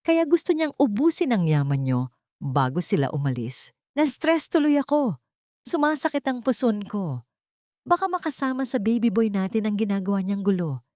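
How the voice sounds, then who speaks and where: A woman's voice: medium pitch, darkish tone, no echo, clean studio sound, lightly acted. A woman voicing a scheming, whiny pregnant mistress playing the victim in an audio story.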